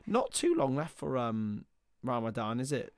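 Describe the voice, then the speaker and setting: A man's voice: medium pitch, big pitch swings, a little slow, dry recording, studio quality. A young man chatting with viewers and calling a football match live on a watchalong stream. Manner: chatty, jumps between match calls and banter with chat. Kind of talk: casual live commentary and chat with viewers.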